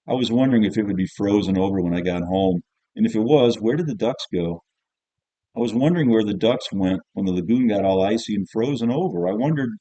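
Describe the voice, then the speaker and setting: Man narrating as jaded teen boy, deep relaxed voice, grumbling, colloquial, offhand, audiobook storytelling. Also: no echo, close to an everyday voice, rough recording. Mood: musing. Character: jaded, cynical teenage schoolboy narrator, polite to teacher. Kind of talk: first-person audiobook narration.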